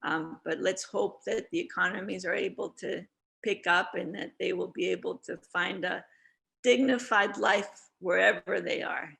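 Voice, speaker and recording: A woman's voice: fairly low pitch, steady tone, moderate pace, creaky, fairly bright tone. A woman speaking as a guest on an online panel, earnest, often repeating words as she starts a thought. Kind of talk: casual online panel discussion.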